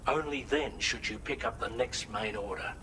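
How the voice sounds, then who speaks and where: A coolly pedantic male science officer from a game drama, played by a man, mid-pitched and formal. He explains and cautions in precise, articulate phrases over noticeable background ambience. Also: moderate pace, background noise, lightly acted. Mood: grave, cautioning. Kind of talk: dramatic video game cutscene acting.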